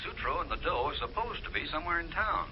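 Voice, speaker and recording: An actor playing a friendly waterfront priest in a 1940s radio drama, brisk and matter-of-fact. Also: male voice, a little high, very animated, slight background noise. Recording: dull lo-fi old radio recording.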